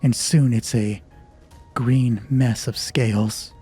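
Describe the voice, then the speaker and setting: A man's voice: medium pitch, fairly even intonation, slow pace, warm tone, slightly rough, faint music behind. A man narrating a solo tabletop roleplay as game master, thinking aloud in a conversational way.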